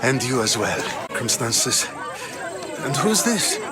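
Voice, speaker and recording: A man's voice: medium pitch, sing-song, slow pace, a loud crowd, heavy background noise. An actor playing a wry, weary, dignified Athenian statesman in a video game, speaking formally and articulately. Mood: welcoming. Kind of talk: dramatic video game acting.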